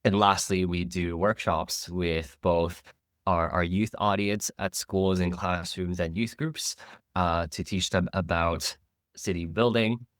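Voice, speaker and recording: A man who runs a nonprofit, speaking on a webinar panel with a nasal voice from a cold. He explains his organization in a steady, informative way with frequent fillers. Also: sits low, slow pace, no echo, studio quality. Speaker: nonprofit co-executive director. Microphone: video call.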